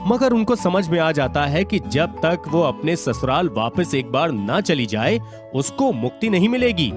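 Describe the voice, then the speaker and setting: Animated male storyteller over music. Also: on the high side. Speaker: narrator. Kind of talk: expressive scripted storytelling narration in Hindi. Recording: music behind.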